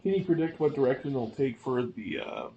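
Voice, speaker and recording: Over faint background noise, a man with a medium-pitched voice co-hosts a podcast. He chats casually, offering thoughts and putting questions to his partner. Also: very animated, fairly quick, vocal fry, muffled recording. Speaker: podcast co-host. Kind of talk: casual discussion, posing questions.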